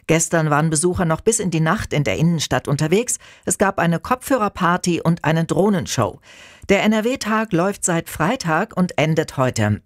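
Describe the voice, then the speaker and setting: A woman's voice: low-pitched, no echo. A woman reading scripted radio news as an anchor, close to a studio microphone.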